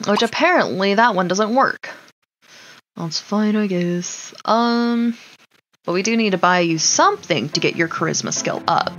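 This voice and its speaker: A woman's voice: mid-range voice, measured pace, fairly bright tone, dull audio. A woman giving casual let's play commentary, chatting to the game's characters and muttering to herself with casual swearing. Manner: talks to the game characters, muttering to self. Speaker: gaming video host.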